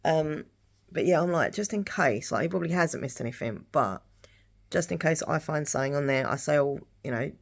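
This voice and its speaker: Husky, creaky woman rambling casually. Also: low-pitched, animated, brisk. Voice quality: husky, dry throat. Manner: rambling, repeats herself. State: throat dry from hay fever, clearing it. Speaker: lifestyle vlogger. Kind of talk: casual rambling chat to camera.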